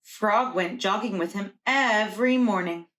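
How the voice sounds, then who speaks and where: Female preschool teacher, mid-pitched voice, reading a storybook aloud to young children. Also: measured pace, fairly bright tone.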